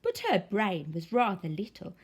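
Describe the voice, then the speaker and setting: A woman teacher reading a picture book aloud in an expressive, sing-song way. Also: mid-range voice, moderate pace, husky. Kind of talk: storybook read-aloud.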